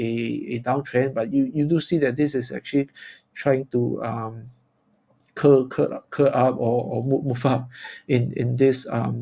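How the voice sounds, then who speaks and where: Halting Singaporean man with creaky voice. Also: medium pitch, warm tone. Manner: halting, repetitive, stop-start delivery. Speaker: forex trader presenting market analysis. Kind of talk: informal live chart commentary.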